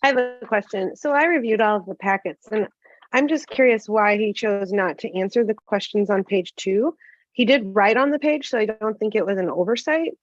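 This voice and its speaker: A woman's voice: medium pitch, normal speed. A woman on a board asking a candidate direct, pointed questions. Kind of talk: questioning a candidate at a public meeting. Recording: dry, muffled sound.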